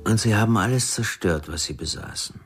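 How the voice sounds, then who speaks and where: An actor playing a cool, probing male therapist with shady dealings in a German radio crime drama, speaking in a measured way. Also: fairly low pitch, animated, faint music behind.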